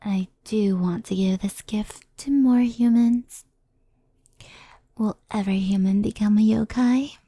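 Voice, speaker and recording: A woman playing a gentle, mischievous fox spirit in an ASMR roleplay, speaking softly and intimately, often in a whisper, like a reassuring mentor. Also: mid-range voice, unhurried, no room sound.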